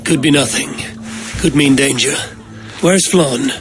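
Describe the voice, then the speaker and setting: An actor playing a terse, wry Norse warrior in a cinematic video game, with a dry, matter-of-fact delivery. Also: male voice, medium pitch, a little slow, lightly acted. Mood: grave, resolute. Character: gruff, wry, plain-spoken Norse warrior, adult male. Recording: music behind the voice.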